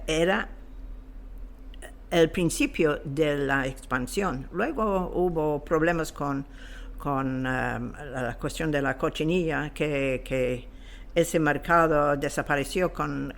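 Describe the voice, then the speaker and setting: A man giving an informal historical explanation as an interview guest, halting and searching for his words. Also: a little high, vocal fry, fairly bright tone.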